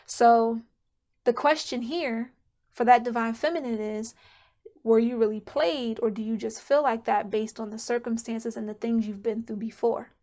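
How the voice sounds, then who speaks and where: A woman's voice: fairly high pitch, steady tone, normal speed, dark tone, lo-fi sound. A woman giving a casual pick-a-card tarot reading to camera, chatty and candid, thinking aloud as she goes. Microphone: camera mic.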